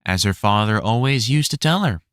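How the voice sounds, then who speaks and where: A man reading a whimsical storybook as narrator, in an expressive, measured storytelling manner. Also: fairly low pitch, no room sound, studio quality.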